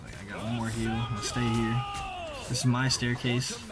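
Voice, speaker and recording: A man giving casual, slangy voice-over commentary on gameplay, conversational with animated reactions. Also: medium pitch, moderate pace, shouting, over music, crowd noise. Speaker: gaming video creator.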